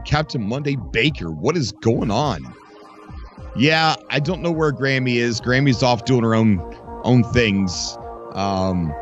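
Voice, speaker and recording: A chatty man hosts a live gaming stream, rambling warmly to viewers by name. His voice is still recovering and catches now and then. The lo-fi desk-mic audio sits over faint game music. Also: fairly low pitch. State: voice recovering from loss, skipping a beat. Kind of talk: casual live-stream chat.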